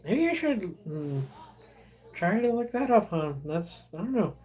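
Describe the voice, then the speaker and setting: A young man, a Spanish speaker, hosting a casual livestream and chatting with viewers in a rambling, halting way full of fillers and restarts. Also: high-pitched, sing-song, a little mellow, faint music behind. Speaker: livestream host.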